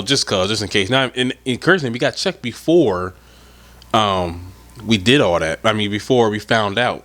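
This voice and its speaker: Young man, podcast host, rambling, profane, stuffy-nosed, lowish voice. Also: a bit bright. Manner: rambling, profane, laid-back. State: stuffy nose from a head cold. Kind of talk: casual solo podcast talk.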